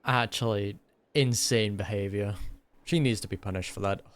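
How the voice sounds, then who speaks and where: A man's voice: lowish voice, steady tone, moderate pace. A young man giving casual, emphatic, slangy commentary as he reacts to a video game. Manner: slangy, emphatic, uses dude and bro.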